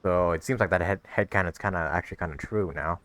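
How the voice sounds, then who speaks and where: A young man hosting a gaming video, giving casual, halting commentary as he plays. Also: low voice, fairly bright tone.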